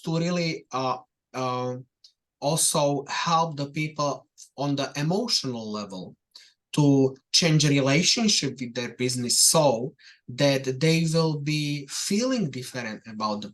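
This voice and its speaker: Give me a hesitant male business coach at a middle pitch, chatting casually on a podcast. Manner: hesitant, many "uh" fillers.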